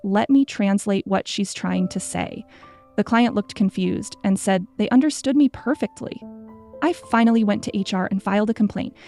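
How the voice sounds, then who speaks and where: Female narrator for scripted stories; mellow tone with vocal fry. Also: mid-range voice. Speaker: story narrator.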